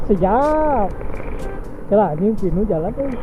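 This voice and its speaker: A man's voice: sits high, very animated, takes it slowly, muffled recording, over music. A young man with a Sundanese accent chatting in joking, crude slang as he vlogs over a helmet intercom on a motorbike. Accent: Sundanese-accented Indonesian. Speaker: motovlogger. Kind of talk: casual banter while riding. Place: outdoors on a moving motorbike.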